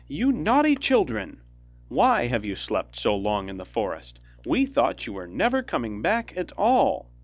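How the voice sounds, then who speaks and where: A man voicing a harsh, scolding mother in a fairy tale, with a sharp, commanding delivery. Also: medium pitch, wildly swooping pitch, a little slow, lo-fi sound, exaggerated voice. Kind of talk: fairy tale storybook reading.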